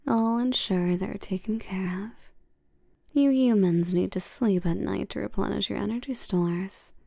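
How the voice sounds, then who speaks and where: A woman playing a seductive, commanding vampire queen in an intimate roleplay audio, soft and breathy, teasing and condescending, often sinking toward a whisper. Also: mid-range voice, no echo, studio quality. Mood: possessive, soothing. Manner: slow, teasing, domineering, with terms of endearment.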